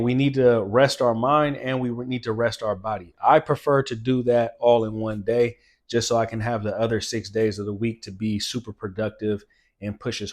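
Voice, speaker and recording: A man in his late thirties hosting a fitness channel, giving an earnest, emphatic motivational talk and repeating phrases for stress. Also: lowish voice. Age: late 30s.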